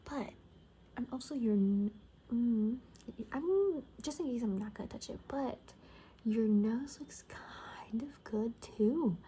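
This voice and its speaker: A woman doing a soft-spoken, breathy ASMR roleplay as a cocky, gossipy apocalypse survivor, often dropping into a whisper and rambling casually. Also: a little high, lively intonation, takes it slowly, slight background noise.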